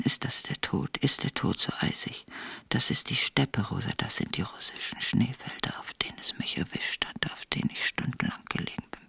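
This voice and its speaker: An actor playing a tender, haunted lover in a radio play, murmuring in a breathy whisper. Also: mid-range voice, bright, forceful tone, heavily acted.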